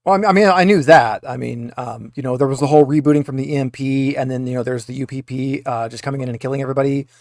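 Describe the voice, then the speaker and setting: A man's voice: mid-range voice, fairly even intonation, darkish tone, dry recording, close to an everyday voice. Flustered female android for a tabletop game, played by a man: hesitant, repetitive, pleading, dry recording. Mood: anxious, confused. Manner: hesitant, halting, repeats words.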